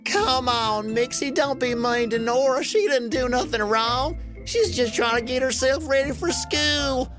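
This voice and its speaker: A woman's voice: sits high, unhurried, few pauses, pitched above the performer's own voice. A woman voicing a kind, polite, protective male toy dump truck in a children's story, with a folksy, earnest, courtly manner. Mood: sympathetic, protective. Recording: music behind the voice.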